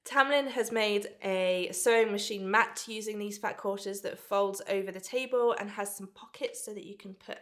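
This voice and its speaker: A woman's voice: mid-range voice, moderate pace, hardly any pauses, fairly bright tone. A woman in her thirties, stuffed up with a cold, chatting enthusiastically as she unboxes a sewing subscription box. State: blocked nose from a cold. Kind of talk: casual unboxing vlog.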